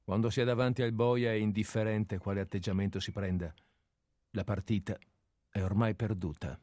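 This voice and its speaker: A man plays a condemned man in a radio drama, sarcastic and bitter as he faces his own executioner. His voice is low and recorded very dry and close. His remarks come out scornful and cutting. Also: steady tone, normal speed, mellow, no room sound, natural voice. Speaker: actor in a radio play.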